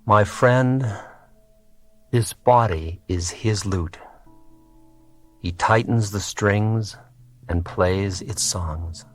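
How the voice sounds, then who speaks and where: A man reads poetry meditatively in a lowish voice. He goes extremely slowly, leaving space between short phrases. Soft guitar plays behind him. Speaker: poetry reader. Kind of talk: very slow meditative poetry reading. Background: soft guitar music.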